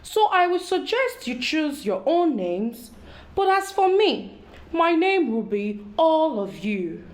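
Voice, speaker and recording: A woman's voice: high-pitched, big pitch swings, slow, pitched above the performer's own voice, exaggerated voice. A woman voicing a cunning, greedy male tortoise in a children's storybook, with a formal, persuasive way of talking. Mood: sly, persuasive.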